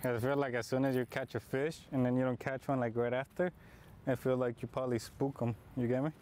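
A man's voice: medium pitch, flat delivery, brisk, a little mellow, slight background noise. A man in his thirties talking casually while fishing at an outdoor park pond, explaining his technique as he goes, picked up by a camera mic at some distance. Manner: laid-back, explains as he fishes. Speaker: fishing vlogger.

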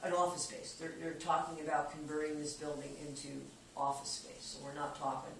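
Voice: mid-range voice, level tone, fairly quick, a bit bright. A water department official giving a casual, plain-spoken report at a board meeting, thinking aloud with frequent restarts. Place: meeting room around a conference table. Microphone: far camera. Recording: echoey room, distant camera, background noise.

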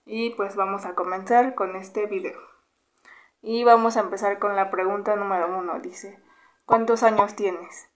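A woman in her twenties from Mexico with a Mexican Spanish accent, answering viewer questions in a relaxed, chatty vlog, humming between questions and recorded on a camera held close in a small bedroom. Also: medium pitch, unhurried. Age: 20s. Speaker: YouTuber. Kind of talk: casual Q&A vlog.

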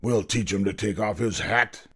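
An imperious storybook king played by a male reader, with a low-pitched voice full of bluster. He is pompous and quick-tempered, issuing demands as one who expects instant obedience. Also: sharp tone, breathy edge. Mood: outraged. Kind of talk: storybook character voice.

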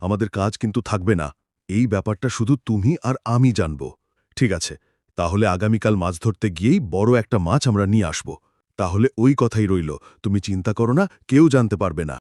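An actor voicing secretive but sympathetic village fishermen in a cartoon. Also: male voice, lowish voice, brisk, darkish tone, dry recording, studio quality, natural voice. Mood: conspiring. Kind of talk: cartoon voice acting.

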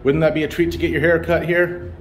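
A man narrating a walking tour of a town's streets in a relaxed, musing, meandering way, recorded on a camera mic as he walks. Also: on the high side, fairly quick, soft background music. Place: outdoors on city streets. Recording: outdoor street noise behind. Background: passing cars and street ambience.

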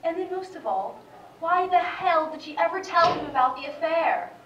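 An incredulous woman juror acted by a teenage girl speaks a halting, emphatic stage monologue in a high voice. It echoes around a theatre and is picked up from a distance. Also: expressive, lo-fi sound, background noise. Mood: incredulous, exasperated. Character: troubled, incredulous twice-divorced female juror. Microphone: far camera.

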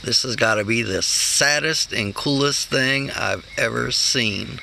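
A man's voice: medium pitch, a little slow, vocal fry, bright, forceful tone, slight background noise. A middle-aged man filming himself in his backyard garden, talking casually and sounding amazed as he thinks aloud. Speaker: homesteader vlogger. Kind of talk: casual handheld vlog. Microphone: phone camera held close.

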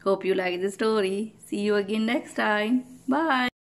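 A woman reading a children's picture book aloud as host, expressive and deliberate for young listeners. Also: mid-range voice, soft background music. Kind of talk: storybook read-aloud.

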